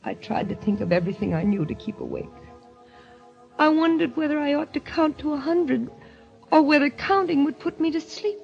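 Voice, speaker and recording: A woman's voice: high-pitched, expressive, moderate pace, creaky. A woman playing a terrified wife in an old radio mystery, anguished and pleading as she speaks. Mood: tense dread. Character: terrified wife recounting a nightmare, pleading for her life. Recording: dull vintage radio recording with music.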